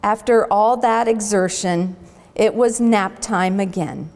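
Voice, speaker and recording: A woman's voice: medium pitch, fairly even intonation, slow pace, a little mellow. A woman working as a zoo educator, presenting a children's storytime in a reverberant barn. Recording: long echoing hall reverb.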